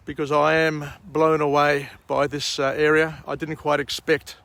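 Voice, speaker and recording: A middle-aged Australian man with a croaky voice after a night out, hosting a rambling outdoor morning vlog and book summary at a vineyard. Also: on the high side, little pitch movement, a bit bright. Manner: slow, rambling, frequent uh and um. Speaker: vlog host.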